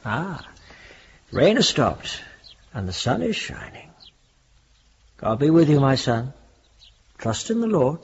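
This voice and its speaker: Husky, kindly reverend, echoing hall. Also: male voice, mid-range voice, big pitch swings, takes it slowly, long pauses, exaggerated voice. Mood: fond farewell. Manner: solicitous, old-fashioned, pious. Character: kindly elderly country reverend, gentle and pastoral. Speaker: actor. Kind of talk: radio drama acting. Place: reverberant church on location. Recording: long echo, muffled, lo-fi.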